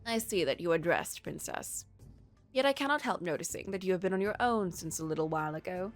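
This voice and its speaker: A sly fairy godmother from a storybook, acted out by a woman. She speaks in formal, measured phrases, cryptic and quietly menacing. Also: on the low side, lively intonation, faint music behind. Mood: coolly courteous.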